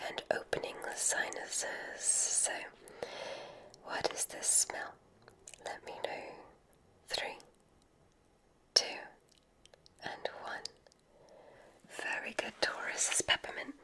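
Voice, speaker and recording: A woman whispering an ASMR roleplay as a gentle witch examiner, guiding deliberately with soft, encouraging praise.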